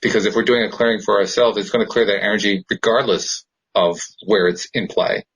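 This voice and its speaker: Guy co-hosting a podcast, lowish husky voice, thinks out loud, casual and explanatory. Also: steady tone, brisk, rough recording. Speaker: podcast co-host. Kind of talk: casual reflective conversation.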